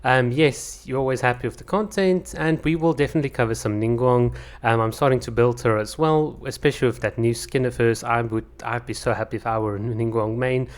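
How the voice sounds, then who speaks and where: A man's voice: mid-range voice, fairly quick, hardly any pauses. Guy reading his viewers' comments, laid-back rambly chat, lots of ums and restarts, english isn't his first language. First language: not English. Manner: chatty, many fillers and restarts. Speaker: channel host.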